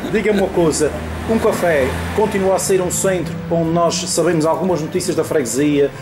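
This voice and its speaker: A man's voice: fairly high pitch, fairly quick, background noise. A man with an Azorean Portuguese accent, interviewing locals in a small café, chatty and teasing. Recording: echoey room with music behind.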